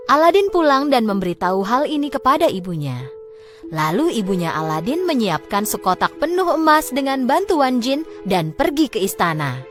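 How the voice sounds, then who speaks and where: A woman narrating a scripted fairy tale in a dramatic, expressive storytelling manner. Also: medium pitch.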